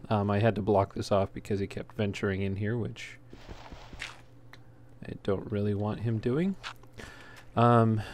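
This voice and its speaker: Low-voiced man narrating a game, noisy recording. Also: darkish tone. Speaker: gaming video host. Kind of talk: casual unscripted game walkthrough commentary. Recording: noisy home recording, clear background noise.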